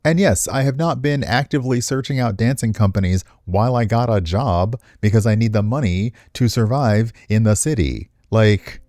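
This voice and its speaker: A man casually commenting and reading aloud as he streams a visual novel, chatty and expressive. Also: fairly low pitch, moderate pace, few pauses, no room sound, studio quality. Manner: chatty, expressive, reacts with asides to what is read. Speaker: streamer, let's player.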